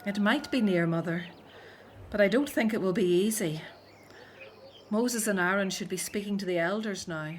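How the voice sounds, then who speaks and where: Actress as devoted grown daughter in radio drama, middle-aged, hopeful but doubting. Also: female voice, mid-range voice, normal speed, a little hiss or hum, lightly acted. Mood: doubtful, wistful.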